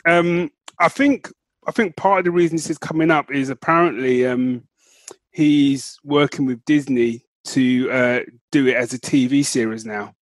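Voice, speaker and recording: A man's voice: mid-range voice, moderate pace, no room sound. A man co-hosting a podcast, chatting casually and informally, repeating himself for emphasis. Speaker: podcast co-host. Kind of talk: casual chat about film and book news.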